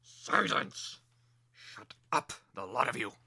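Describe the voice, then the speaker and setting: A man's voice: mid-range voice, sing-song, slow, vocal fry, pushed and bright, breathy edge, heavily acted. A man voicing a gluttonous, tyrannical Rat King with an imperious, grumbling manner in a fantasy dramatic reading. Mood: irritated, commanding.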